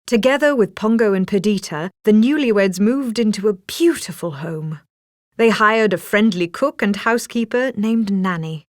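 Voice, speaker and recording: A woman's voice: medium pitch, animated, normal speed, no room sound, studio quality. A woman reading a scripted children's story as a storybook narrator.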